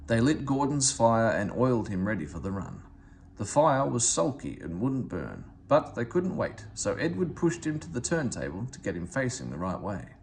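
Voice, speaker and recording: Animated man reading a children's story. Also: medium pitch, moderate pace, vocal fry, a little hiss or hum. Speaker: storybook reader. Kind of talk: children's story read aloud.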